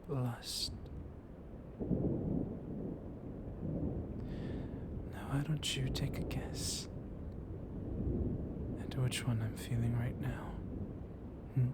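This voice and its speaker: A man playing a seductive, softly dominant vampire librarian in an ASMR roleplay, speaking in a hushed, flirtatious way with lingering pauses. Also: mid-range voice, very noisy. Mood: seductive, predatory. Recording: loud rainstorm ambience with thunder. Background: rain and thunder ambience.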